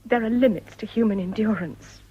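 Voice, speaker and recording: An actress playing a defiant, wronged young wife in a period radio drama, with formal, emphatic upper-class English speech. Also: female voice, medium pitch, fairly quick, breathy edge, natural voice. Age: young adult. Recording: dull, lo-fi radio recording.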